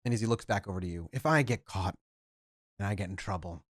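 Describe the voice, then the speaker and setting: A man's voice: lowish voice, no room sound, clean studio sound, natural voice. A man voicing a nervous, eager young male vampire who stammers and repeats himself. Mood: anxious. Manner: halting, stammering, repeats words.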